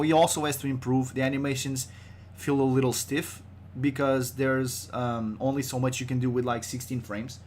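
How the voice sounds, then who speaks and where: A man's voice: medium pitch, a little hiss or hum. Groggy, joking male podcast host. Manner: joking, loose, swears casually. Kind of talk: casual livestream chat.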